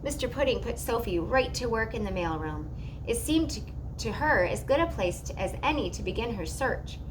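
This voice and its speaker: A woman reading a children's story aloud in an expressive narrating style. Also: a little high, moderate pace, noisy background.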